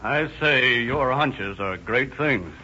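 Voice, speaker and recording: An agreeable American police partner in a vintage radio drama. His voice is bright and of middle pitch. The recording is muffled, with hiss. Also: lively intonation, slow pace, full character voice. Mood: curious. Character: agreeable male police officer sidekick. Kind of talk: 1930s radio drama acting. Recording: dull, noisy old radio recording.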